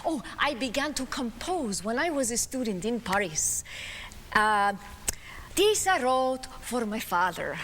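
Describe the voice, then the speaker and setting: A woman's voice: fairly high pitch, sing-song, a little slow. A woman performing a one-woman stage monologue as a famous woman pianist, reminiscing in a chatty, self-mocking way between piano pieces, in a concert hall. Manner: reminiscing, chatty, light laughs. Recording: reverberant hall with faint ambience.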